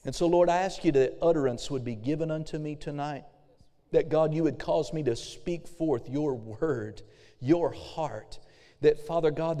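A middle-aged male pastor preaching a fervent sermon with frequent amens, his voice slightly hoarse and a little raspy. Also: mid-range voice, dark tone. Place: church sanctuary. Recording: long hall reverb.

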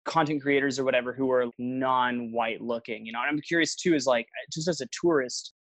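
A man's voice: medium pitch, fairly even intonation, a little fast, fairly bright tone, no echo. A young man, a travel vlogger, chatting casually as an interview guest and telling stories with lots of fillers and restarts. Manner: rambling storyteller, many fillers and restarts.

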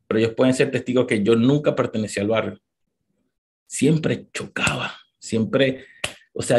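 Husky Venezuelan man, passionate motivational talk. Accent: Venezuelan Spanish. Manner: emphatic, rising to high passionate peaks; repeats words, talks straight to the listener. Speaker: motivational speaker. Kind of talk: motivational talk to a live audience.

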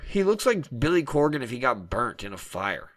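A man's voice: mid-range voice, big pitch swings, moderate pace. A man co-hosting a comedy podcast, trading crude, joking banter and riffing on absurd ideas. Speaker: podcast co-host.

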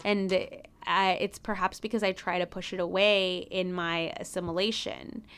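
Smooth-voiced woman, reflective and halting. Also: fairly low pitch, level tone, a bit bright. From United States. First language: Spanish. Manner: reflective, with frequent restarts and fillers. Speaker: guest. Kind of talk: casual conversation.

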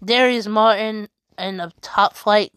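A woman hosts a fan podcast in a loose, casual chat, stumbling through fillers and restarts. Her voice sits at a middling pitch. The sound is dry, with no room around it. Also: fairly even intonation, fairly bright tone, clear, resonant tone, dry recording. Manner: halting, filler-heavy, chatty. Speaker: wrestling podcast host. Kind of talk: casual chat reading news and tweets.

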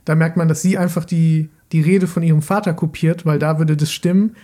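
Higher-pitched man with a mellow voice. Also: fairly even intonation, a little fast. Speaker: podcast co-host. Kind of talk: casual film discussion.

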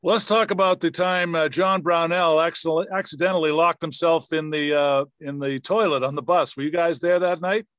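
Guy hosting a casual interview, kinda high voice, very dry sound. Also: fairly even intonation, moderate pace, hardly any pauses, fairly bright tone, no echo. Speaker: host.

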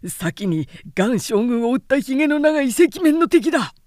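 A woman's voice: high voice, big pitch swings, moderate pace, a little mellow, no room sound, clean studio sound, voice pushed up. A woman voicing an agitated male foot soldier in a storybook reading, reporting urgently and emphatically to his lord. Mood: alarmed. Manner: urgent, raised, emphatic delivery. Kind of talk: dramatic storybook character reading.

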